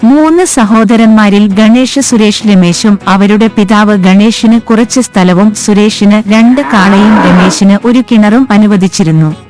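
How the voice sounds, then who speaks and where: A woman's voice: mid-range voice, unhurried, hardly any pauses, a little mellow. A woman reading scripted moral stories for children as the narrator. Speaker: story narrator. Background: background music.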